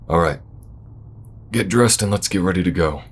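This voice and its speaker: Man acting as a very deep-voiced folksy space bounty hunter. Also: slightly rough, exaggerated voice. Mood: urgent, steady. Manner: folksy, unhurried, reassuring. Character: folksy, loyal male space bounty hunter, steady and protective. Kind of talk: intimate audio roleplay acting.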